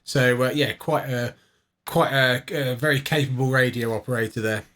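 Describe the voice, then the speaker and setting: A man's voice: mid-range voice, steady tone, normal speed, fairly bright tone. A British man hosting a casual vlog, chatting informally and playfully, often as if thinking aloud. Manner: informal, playful, talks to himself. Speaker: vlogger, livestream host.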